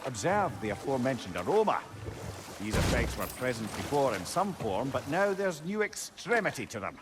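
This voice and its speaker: An actor playing a witty, learned, cantankerous older advisor in a video game, with a Scottish accent and a wordy, theatrical manner. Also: male voice, mid-range voice, very animated, moderate pace, heavy background noise, lightly acted. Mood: scholarly, wry. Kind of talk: video game drama acting.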